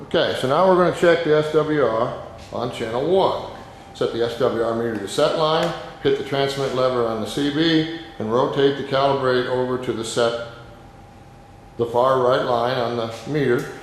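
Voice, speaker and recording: In a very echoey, reverberant space with some background noise, a man walks through a hands-on how-to. He is an instructor with a plain-spoken, informal manner, going step by step at a mid-range pitch. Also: moderate pace.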